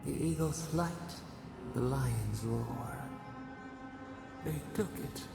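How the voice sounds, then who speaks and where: Ancient imprisoned god, fantasy game; sorrowful, very gravelly, slow and echoing. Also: female voice, very deep voice, exaggerated voice. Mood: mournful, brooding. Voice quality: heavily creaky. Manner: slow, cryptic, halting. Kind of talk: fantasy video game acting. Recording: echoing voice over prominent music.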